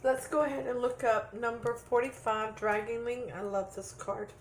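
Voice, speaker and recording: A woman's voice: on the high side, steady tone, moderate pace, a little hiss or hum, low-quality recording. A woman giving a tarot reading to viewers in an earnest, repetitive way, checking in with "okay?" and losing her voice a little. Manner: earnest, repeats points with "okay?". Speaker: tarot reader.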